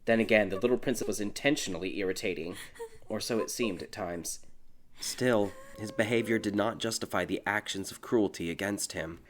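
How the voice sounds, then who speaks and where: Low-voiced man narrating a dark tale. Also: fairly quick, a little hiss or hum. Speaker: audiobook narrator. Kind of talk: dramatic scripted fairy tale narration. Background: music.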